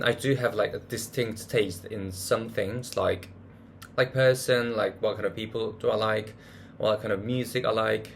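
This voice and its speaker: Rambling man talking in a low voice. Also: creaky, a little hiss or hum. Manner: rambling, searching for words with many "like"s and restarts. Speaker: vlogger. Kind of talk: casual monthly recap vlog.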